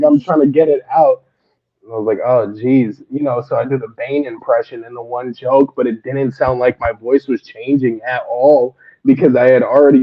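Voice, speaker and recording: A man's voice: medium pitch, highs cut off. A man, a stand-up comedian, chatting loosely in an interview about his start in comedy and laughing often. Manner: laughs often, rambling storytelling. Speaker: guest comedian. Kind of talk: casual interview chat.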